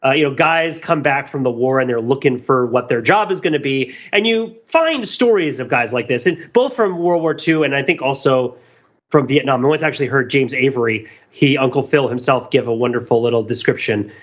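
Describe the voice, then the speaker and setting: A man's voice: medium pitch, fairly quick, lo-fi sound. A man co-hosting a podcast, talking through his analysis in a casual, rambling, self-interrupting way with wry asides. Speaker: podcast co-host.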